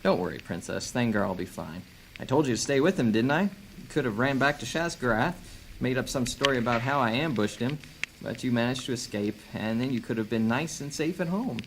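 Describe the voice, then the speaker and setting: A man's voice: mid-range voice, animated, fairly quick, background noise, natural voice. A man playing a sardonic, resourceful fugitive in a fantasy audio drama, teasing and sarcastic. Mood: wry, matter-of-fact.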